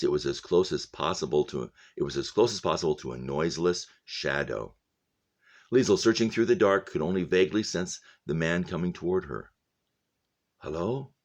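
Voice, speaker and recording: A man with a very deep, low voice reads aloud as a teacher would to a class. His delivery is deliberate and expressive, and the ends of his phrases sink into a hush. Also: vocal fry, lo-fi sound. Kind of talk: expressive audiobook-style reading with casual spoken commentary.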